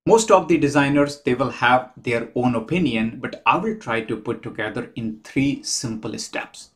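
A man in his fifties, the host, talking to camera from a small room at home in a measured, methodical way, counting his points off one by one. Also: medium pitch, expressive, a bit bright. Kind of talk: explanatory talk to camera.

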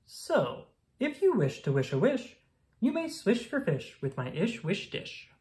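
Woman playing proud wishful picture-book creature, showy rhymes, widely varied pitch. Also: sing-song, unhurried, a little mellow, roomy sound. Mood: proud, cheerful. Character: proud wishful storybook creature with a magic dish.

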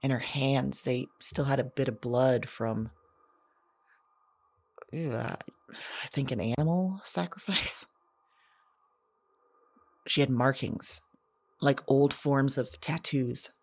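A woman playing a lonely, haunted character who keeps an audio journal in a drama, with a halting, intimate, confessional delivery. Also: very deep voice, husky, no echo, full character voice. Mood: wondering. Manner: halting, hesitant, hushed reflective delivery.

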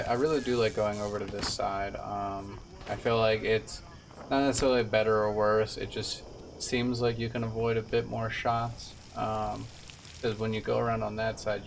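A young man with a low voice casually talks through his gameplay in a laid-back way. He sounds away from the mic, with clear game noise behind him. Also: flat delivery, normal speed, distant mic. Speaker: video game streamer, speedrunner. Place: indoor room, streaming setup with webcam. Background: loud video game audio.

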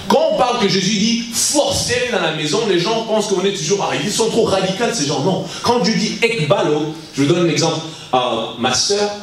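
Impassioned preacher in an echoey hall. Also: male voice, high-pitched. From Congo (Kinshasa). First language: English is his stronger language. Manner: impassioned, halting French with restarts. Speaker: guest preacher. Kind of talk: sermon to young people. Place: large church hall, indoors. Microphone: handheld mic. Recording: echoey hall, mic heard from a distance.

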